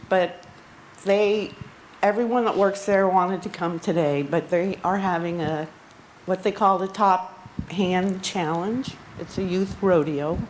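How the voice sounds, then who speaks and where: Woman doing a eulogy in a barn, slow and a bit low, faint noise behind. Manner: slow, halting, with frequent ums. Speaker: eulogy speaker. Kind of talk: reading a memorial tribute. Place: outdoor barn gathering.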